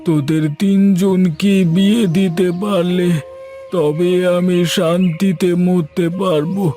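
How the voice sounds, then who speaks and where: Sad old cartoon dad, high mellow voice, slow and heavy, music behind. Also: male voice, fairly even intonation. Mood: sorrowful. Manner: sorrowful, self-reproaching. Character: poor grieving elderly father of three daughters. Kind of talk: Bengali cartoon voice acting. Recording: music underneath.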